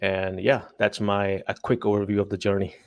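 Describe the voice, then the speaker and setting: A man's voice: fairly low pitch, little pitch movement, moderate pace, vocal fry, dry recording, lo-fi sound. A man in his forties from Pakistan, a telecom executive, giving thoughtful interview answers with many hesitations.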